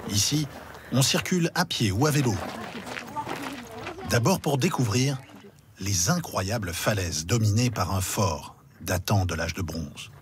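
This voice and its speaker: A man narrating a television news travel feature in a measured storytelling delivery. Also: lowish voice, lively intonation, a crowd in the background. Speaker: reporter and narrator.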